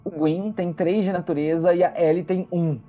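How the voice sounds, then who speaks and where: A Brazilian game master narrating a tabletop roleplaying game with dramatic, unhurried pauses. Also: mid-range voice, steady tone. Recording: muffled lo-fi audio with music. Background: music.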